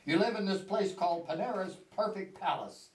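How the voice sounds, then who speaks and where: A man's voice: high-pitched, fairly quick, lightly acted. A wisecracking hard-boiled detective acted by a man delivers clipped, alliterative patter in radio comedy style. He sounds far from the mic in an echoey hall. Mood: questioning, dry. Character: wisecracking male police detective in a radio spoof. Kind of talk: amateur old-time radio comedy acting on stage.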